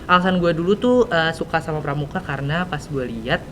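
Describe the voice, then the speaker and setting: A teenage male with a Jakarta Indonesian accent, hosting a casual interview in slangy, hesitant speech full of fillers. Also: brisk. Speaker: podcast host. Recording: clear background noise, low rumble.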